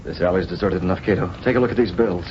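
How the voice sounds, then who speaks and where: An actor playing a cool, commanding masked vigilante in a vintage radio drama, decisive and authoritative. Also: male voice, sits low, racing, natural voice. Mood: resolute. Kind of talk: 1930s-40s radio drama acting. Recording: muffled, noisy vintage radio recording.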